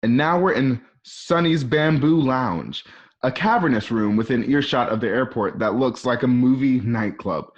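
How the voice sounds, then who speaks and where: A man's voice: mid-range voice, moderate pace. A man reading scripted scene descriptions as the narrator of an amateur radio play. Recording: dull lo-fi home recording.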